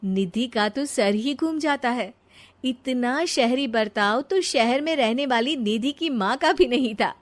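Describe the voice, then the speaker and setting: High-pitched woman storyteller, animated delivery. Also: a little slow. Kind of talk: scripted Hindi cartoon story narration.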